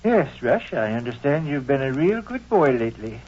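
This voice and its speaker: A man's voice: medium pitch, very animated, moderate pace, darkish tone, slightly rough. An actor in an old-time radio comedy playing a sheepish, scheming father who speaks in stilted, flowery, hesitant phrases. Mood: pompous, evasive. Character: sheepish scheming father, pompously wordy, coaxing his son. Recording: dull, muffled old radio recording.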